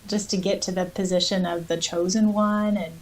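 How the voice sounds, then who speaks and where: A woman's voice: fairly low pitch, fairly even intonation, moderate pace, a little mellow, poor audio. A woman co-hosting a podcast, casually discussing a book and reading out passages from it. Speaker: podcast co-host.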